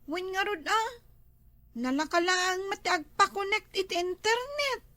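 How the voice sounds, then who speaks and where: A woman playing an excitable, complaining household member in a comedy drama, with an Ilocano Filipino accent. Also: very high voice, measured pace, full character voice. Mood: casual.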